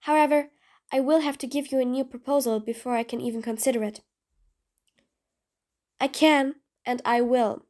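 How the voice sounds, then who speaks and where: Woman acting high-pitched, theatrical scheming supervillain. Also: fairly even intonation, normal speed, pauses often, darkish tone, close to an everyday voice. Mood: commanding, persuasive. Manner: theatrical, mocking, formal wordy phrasing. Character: sly supervillain, theatrical, impatient, scheming, grudgingly sympathetic. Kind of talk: scripted audio roleplay monologue.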